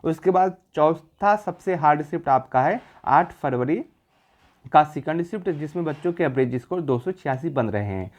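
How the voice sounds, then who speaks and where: A man's voice: fairly high pitch, expressive, moderate pace. A man presenting an exam-prep video, explaining figures informally in an assured, repetitive way. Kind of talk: informal explanatory data commentary.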